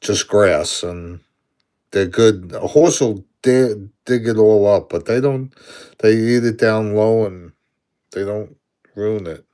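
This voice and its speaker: A Brooklyn-accented man in his sixties talks slowly and easily in a lowish voice. He is chatting on a live stream, answering viewer comments aloud and chuckling often between replies. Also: mellow. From Brooklyn, New York. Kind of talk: casual live-stream chat.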